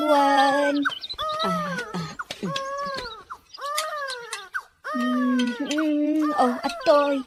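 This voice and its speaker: Madanagan a babae, nangato ti timekna. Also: female voice, sits high, noisy background, heavily acted. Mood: soothing, tearful. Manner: sighing, lamenting, talking to herself. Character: anxious woman left minding a stranger's baby, fretful, kindly. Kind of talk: Ilocano radio drama acting. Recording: radio drama with music bed.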